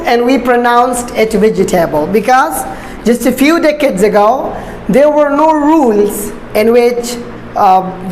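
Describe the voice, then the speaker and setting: Slow-explaining woman teacher. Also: on the high side, darkish tone, a little hiss or hum. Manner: slow, deliberate, repeats points with fillers. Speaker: ESL instructor. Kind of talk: classroom-style explanation. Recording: echoey room.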